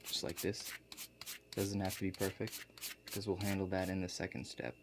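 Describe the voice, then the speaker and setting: A man playing a laid-back construction contractor in an ASMR role play, explaining things to a client in a halting, matter-of-fact way. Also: sits low, flat delivery, background noise. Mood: casual, unhurried.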